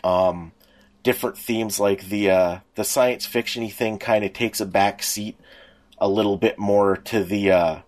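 A middle-aged man co-hosting a podcast, chatting and reminiscing casually, piecing his thoughts together in a halting way. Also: lowish voice, steady tone. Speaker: podcast co-host. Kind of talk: casual chat, personal reminiscing.

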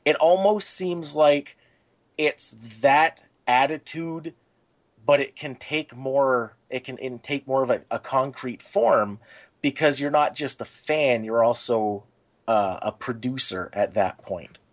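A man hosting a casual podcast discussion, building his points in a halting, circling way. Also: mid-range voice. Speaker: podcast host.

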